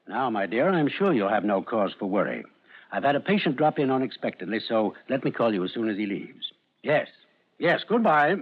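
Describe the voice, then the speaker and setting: A man's voice: medium pitch, very animated, a little fast. An actor playing a polished male psychoanalyst with a soothing manner, in 1940s radio acting. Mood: polite. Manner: polished, soothing, growing uneasy. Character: smooth middle-aged male psychoanalyst. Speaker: doctor. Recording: dull lo-fi old radio recording.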